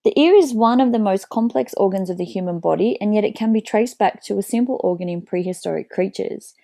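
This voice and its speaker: A woman biology teacher narrates a lesson video with plain, explanatory delivery. Her mid-pitched voice has a gravelly fry. The recording is dry and close. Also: a little fast, warm tone, no room sound. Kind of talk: educational narration.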